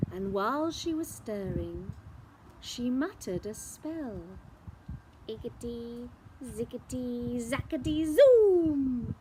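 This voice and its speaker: A woman reads a rhyming children's story theatrically. Her high voice is lively and varied in pitch, and she takes her time with dramatic pauses. There is faint noise in the recording. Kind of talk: expressive storybook narration in rhyme.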